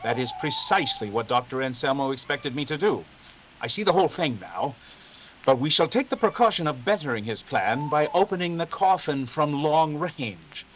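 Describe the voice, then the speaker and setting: Man acting a commanding, animated detective, muffled noisy recording. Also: fairly high pitch, normal speed, lightly acted. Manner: formal, clipped, authoritative. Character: brisk, commanding, self-assured male detective. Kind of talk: 1940s radio mystery acting. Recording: dull, noisy live radio-play recording.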